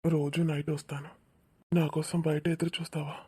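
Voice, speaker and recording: A woman voicing a tender young man in love in a cartoon romance, speaking softly and romantically. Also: sits low, little pitch movement, a little fast, slightly rough. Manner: soft, romantic. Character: tender young office-going male lover.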